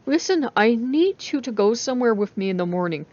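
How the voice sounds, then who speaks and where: A woman's voice: a little high, very animated, a little fast, darkish tone, dull audio. A woman voicing an awkward, evasive young college woman with a casual, hesitant manner in a story reading.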